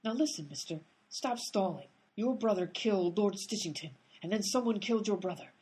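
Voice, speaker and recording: A woman's voice: mid-range voice, animated, fairly quick, mellow, husky. A woman playing an exasperated, blunt, tough-talking detective in a comedic radio play. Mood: tough, demanding.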